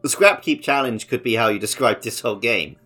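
A man's voice: mid-range voice, brisk, pushed and bright, husky, soft background music. A man co-hosting a gaming podcast, explaining things in an informal, conversational way. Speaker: podcast co-host. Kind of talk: casual gaming podcast chat.

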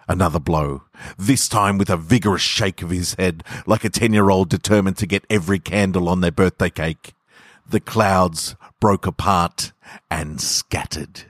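A man hosting a story podcast, speaking with measured, deliberate phrasing. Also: low voice, no room sound, studio quality. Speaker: podcast host and story reader. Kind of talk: literary story narration and informal sign-off.

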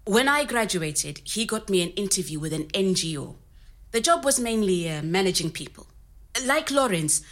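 A woman's voice: mid-range voice, fairly bright tone, lightly acted. An actress playing a resourceful, candid woman recounting her working life in a conversational storytelling style. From Zimbabwe. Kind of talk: scripted oral-history storytelling in conversational manner.

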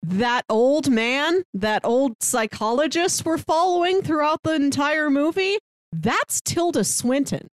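A woman's voice: high voice, expressive, no echo. A woman co-hosting a podcast, chatting casually about films and sharing trivia. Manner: explains with trivia, quick asides. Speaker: podcast co-host. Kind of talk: casual movie-review chat.

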